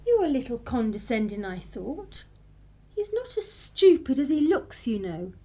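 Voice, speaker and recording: A woman's voice: high voice, sing-song, a little slow, heavy background noise. An actress playing a bold, teasing upper-class young Englishwoman in a radio play, poised and arch. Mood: cool reproach.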